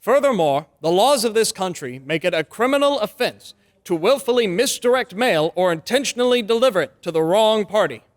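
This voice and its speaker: Guy acting a confident young lawyer in a radio play, highish voice, very up and down, speechy. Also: animated, a little fast. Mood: confident, formal. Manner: emphatic, oratorical. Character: confident, persuasive young male defense lawyer. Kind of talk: old-time radio drama acting.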